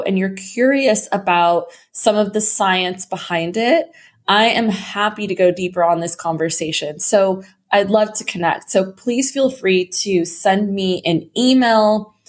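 Slightly hoarse woman hosting a podcast. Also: medium pitch. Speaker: podcast host. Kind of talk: casual solo podcast talk.

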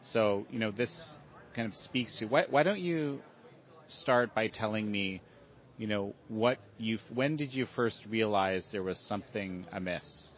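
A man with a fairly low voice asks his questions haltingly, restarting and searching for the right words. The recording sounds dull and lo-fi. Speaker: interviewer. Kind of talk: interview questions.

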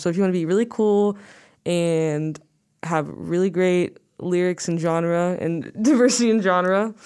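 A young woman, a guest musician, talking casually in an interview, rambling and saying "like" a lot, with a low voice. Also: darkish tone, clear, resonant tone.

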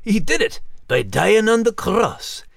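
Lively high-voiced Scottish terrier. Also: male voice, takes it slowly, creaky, no room sound, clean studio sound, heavily acted. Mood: earnest. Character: cheeky, good-hearted male Scottish terrier co-hosting a show. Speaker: co-host in character. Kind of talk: scripted comic podcast banter.

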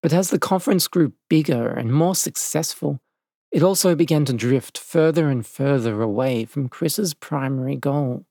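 A man's voice: on the high side, normal speed, gravelly, mellow, no echo. Man narrating in a dry, close recording. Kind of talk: scripted documentary narration.

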